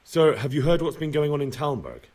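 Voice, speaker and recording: An actor playing an earnest, respectful young medieval messenger in a video game, speaking politely and directly. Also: male voice, on the high side, sing-song, a little fast, darkish tone, far from the mic. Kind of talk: video game cutscene acting.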